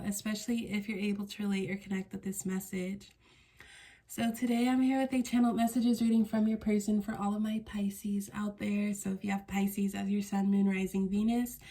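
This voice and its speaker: A stuffy-nosed woman hosts a casual tarot reading in a mellow, flat tone. She meanders, searching for words and revising herself mid-thought. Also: medium pitch. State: congested, stuffy nose. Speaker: tarot card reader.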